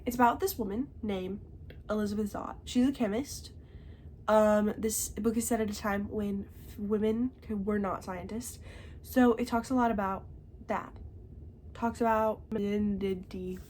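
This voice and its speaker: A woman's voice: medium pitch, fairly even intonation, moderate pace, a little mellow, a little hiss or hum. Congested young woman chatting about books. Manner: chatty, tags remarks with "okay?". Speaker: book reviewer. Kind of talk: casual chat.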